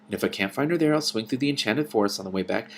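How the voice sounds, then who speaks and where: Man reading as a brisk, stubborn young princess. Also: medium pitch, animated, a little hiss or hum, lightly acted. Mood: snappish, defiant. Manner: brisk, matter-of-fact retorts. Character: stubborn, practical young princess who works for dragons.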